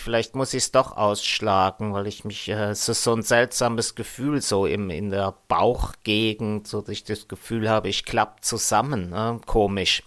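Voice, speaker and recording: A man's voice: fairly low pitch, fairly bright tone. A man hosting a community radio show over a Skype call, musing in a rambling, casual way and tagging his sentences with little questions, slightly under the weather. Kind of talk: casual solo radio chat. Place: home in a city, remote.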